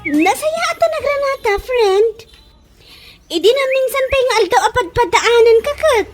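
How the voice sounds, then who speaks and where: A woman's voice: very high voice, animated, normal speed, soft background music. A woman playing a fussy, girlish young mother in an Ilocano-accented comedy radio drama, exclamatory and gossipy. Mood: pleading, excited. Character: girlish young working mother fussing over her hair.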